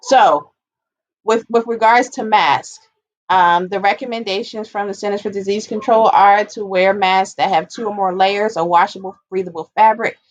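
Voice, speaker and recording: A woman's voice: mid-range voice, steady tone, normal speed, no room sound, muffled recording. Woman explaining in a dry close recording. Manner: explanatory, emphasizes and repeats key points. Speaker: public health educator giving a talk. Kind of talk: informal slide presentation, explanatory public health talk.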